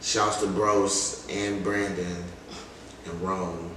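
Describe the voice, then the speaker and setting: A man's voice: sits low, very slow. A man being interviewed as a music artist, talking casually in slangy, emphatic stories. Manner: animated, emphatic, slangy storytelling. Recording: very echoey room, distant mic, faint noise.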